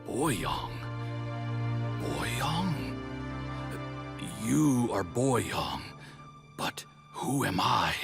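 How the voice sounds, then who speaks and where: An actor playing a weary, confused immortal warrior near death in a video game, halting and dazed. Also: male voice, medium pitch, sing-song, vocal fry, heavily acted. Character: weary, confused male demon-slaying warrior, dying and losing sanity. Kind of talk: dramatic video game acting. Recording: loud music behind.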